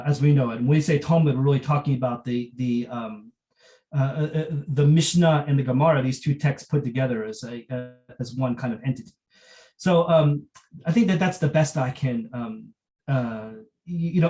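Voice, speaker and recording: A man lectures on history without a script. His voice sits a little high for a man, with a mellow, rounded tone. He speaks haltingly, circling back and repeating phrases as he looks for the right word. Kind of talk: unscripted academic lecture.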